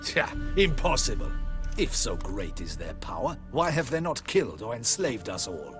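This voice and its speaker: A man's voice: medium pitch, big pitch swings, normal speed, natural voice. Guy doing a proud snooty guard captain in a fantasy game, very up and down, old-timey formal wording, bit of music behind. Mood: skeptical. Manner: haughty, formal archaic phrasing, theatrical. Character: proud, scornful male captain of a ducal guard. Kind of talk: video game voice acting.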